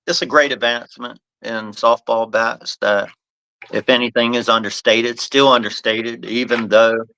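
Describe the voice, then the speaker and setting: Bright, crisp-voiced man rambling on a podcast. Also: fairly low pitch, no room sound, rough recording. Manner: rambling, restarts phrases often. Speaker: podcast host and bat company owner. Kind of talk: casual live podcast chat.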